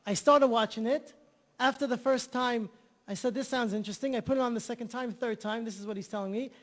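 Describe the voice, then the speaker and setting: A man's voice: very high voice, steady tone, fairly quick, long reverb tail. A man telling an enthusiastic story as a guest on an interview show, often repeating himself. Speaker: guest, charity organizer.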